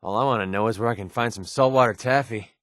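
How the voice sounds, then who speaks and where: A man's voice: lowish voice, fast, fairly bright tone, dry recording, clean studio sound, natural voice. A man with an American accent playing a sarcastic, wisecracking young mercenary companion in a video game. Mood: wry, sarcastic. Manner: sardonic, wisecracking, colloquial. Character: wry, sarcastic young male wasteland mercenary. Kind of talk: video game companion dialogue, naturalistic acting.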